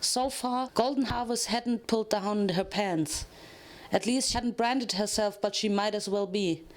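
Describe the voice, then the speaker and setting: A woman's voice: mid-range voice, unhurried. A woman narrating a scripted story as a dramatic reading.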